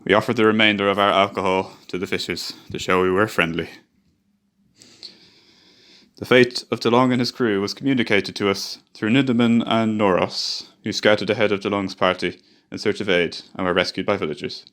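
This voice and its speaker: A man's voice: on the low side, steady tone, a little fast, fairly bright tone, natural voice. A man playing a grave survivor recounting a doomed polar expedition, with solemn, literary radio drama narration. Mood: solemn, mournful.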